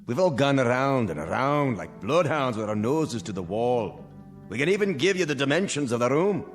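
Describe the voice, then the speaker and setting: Casting: male actor as wry, resigned prisoner for radio drama; old-fashioned turn, lively pitch movement. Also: normal speed, soft background music, close to an everyday voice.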